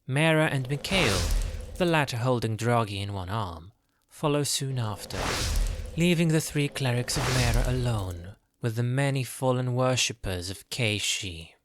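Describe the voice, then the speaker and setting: A man's voice: mid-range voice, animated, unhurried, background noise. A man narrating a fantasy audio drama from a script.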